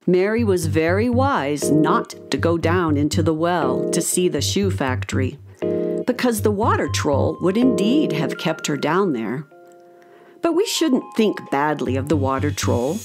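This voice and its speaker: Lively, unhurried woman storyteller over music. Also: low-pitched. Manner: measured, expressive storytelling. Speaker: narrator. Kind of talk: scripted children's storybook narration.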